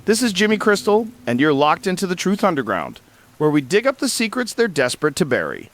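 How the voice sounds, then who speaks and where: A man's voice: high voice, huge pitch swings, fairly quick, a bit bright, full character voice. A man playing a brash conspiracy radio host in an audio drama, with a hyped, sensational delivery. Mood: hyped, conspiratorial.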